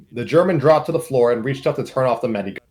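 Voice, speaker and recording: A man's voice: medium pitch, expressive, fast talker, a little mellow. A man narrating a comic story aloud in an informal group setting, with casual asides.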